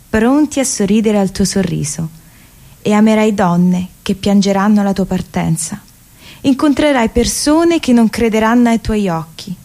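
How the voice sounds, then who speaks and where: An actress playing a gentle, prophetic siren-like inner voice in a radio play, reciting poetic lines in a measured, lyrical way. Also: female voice, mid-range voice, natural voice.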